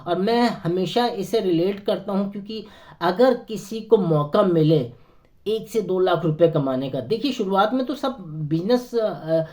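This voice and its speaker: A hoarse man with a sore throat, talking earnestly to camera as a network marketing consultant, persuading with many hesitant fillers. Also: high-pitched, expressive, a little slow, warm tone. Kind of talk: persuasive motivational talk to camera.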